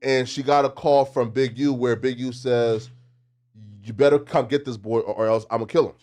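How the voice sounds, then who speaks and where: A man's voice: mid-range voice, steady tone, moderate pace, a little mellow. A man in a casual podcast debate, speaking emphatically and argumentatively in slang. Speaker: podcast panelist.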